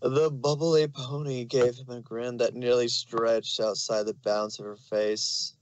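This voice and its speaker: Guy reading fanfic out loud, medium pitch, amateur storyteller style, really dry sound. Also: level tone, a little slow, dry recording, poor audio. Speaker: fan fiction narrator.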